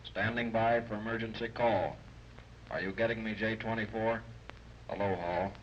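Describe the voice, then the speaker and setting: A faintly noisy, muffled shortwave voice from a 1930s radio play. It is a businesslike operator, speaking in a flat, procedural monotone. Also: male voice, fairly low pitch, normal speed. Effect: voice over shortwave radio. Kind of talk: 1930s radio serial acting. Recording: dull, noisy old radio transmission.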